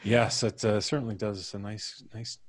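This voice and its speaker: A man's voice: fairly low pitch, little pitch movement, normal speed. A man hosting a casual livestream, chatting with the others and signing off. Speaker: host. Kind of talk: casual livestream sign-off chat.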